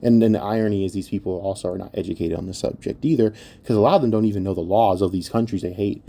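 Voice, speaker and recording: A man's voice: lowish voice, fast, creaky, mellow. A man giving an opinionated commentary rant in a blunt, exasperated and scornful manner, swearing heavily. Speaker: online commentator.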